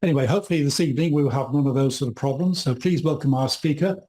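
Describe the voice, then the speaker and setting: Slightly hoarse man, mellow voice. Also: medium pitch, very fast. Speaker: event host introducing the speaker. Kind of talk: formal welcome speech.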